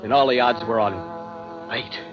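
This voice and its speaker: A man's voice: mid-range voice, normal speed, natural voice. An actor playing a haunted young seafaring man who narrates an old-time radio drama with tense dread. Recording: muffled old radio recording, music behind.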